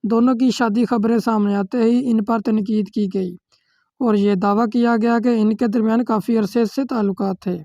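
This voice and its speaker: A woman's voice: fairly high pitch, fairly even intonation, normal speed, mellow, no room sound. A woman with a Pakistani accent reading a scripted celebrity news voice-over steadily, in formal phrasing. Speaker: news narrator.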